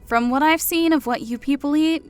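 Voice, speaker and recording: A woman playing a kind, teasing elf in an audiobook, speaking softly with amusement over music. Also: high voice, normal speed, lightly acted. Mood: amused teasing. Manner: soft, amused. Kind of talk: audiobook character acting.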